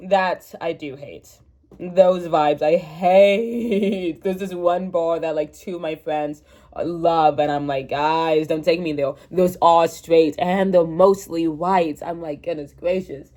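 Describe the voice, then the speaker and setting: A woman's voice: deep voice, steady tone, takes it slowly, mellow. A young woman in her twenties with a stuffy, congested voice, chatting candidly and rambling to her phone camera, laughing at herself. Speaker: vlogger. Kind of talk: casual confessional chat to camera.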